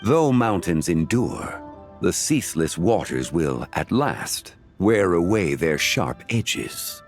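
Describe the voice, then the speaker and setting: Wise, grave game sage: deep voice, unhurried delivery, formal and cryptic. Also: male voice, heavily acted. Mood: solemn. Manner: solemn, formal, deliberate, cryptic. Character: calm, wise, learned man of ancient gravitas. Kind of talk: video game voice acting.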